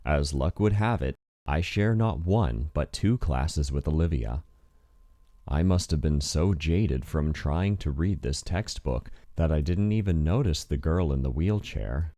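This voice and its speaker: A man's voice: a bass voice, lively intonation, measured pace, dark tone. A man reading scripted first-person narration for a visual novel in an introspective, wry manner. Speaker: narrator and voice actor.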